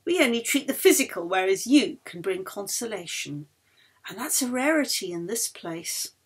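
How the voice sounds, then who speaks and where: A woman's voice: medium pitch, sing-song, normal speed, breathy edge. A woman voicing a courteous male hospital doctor, earnest and effusive, in an audiobook.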